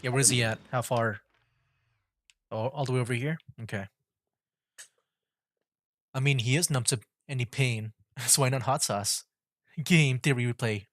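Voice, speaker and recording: A man's voice: medium pitch, normal speed, long pauses, dry recording, clean studio sound. A man giving casual, rambling commentary on a game livestream, often repeating himself as he chats. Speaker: livestreaming VTuber gamer.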